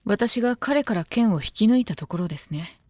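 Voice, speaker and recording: A woman playing a composed, devoted female knight of common birth in a Japanese voice drama, speaking calmly, politely and softly. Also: mid-range voice, a little fast, no echo, lightly acted. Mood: dry, matter-of-fact. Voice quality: calm, composed. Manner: polite, deferential, soft-spoken. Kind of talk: Japanese voice drama, intimate scripted dialogue.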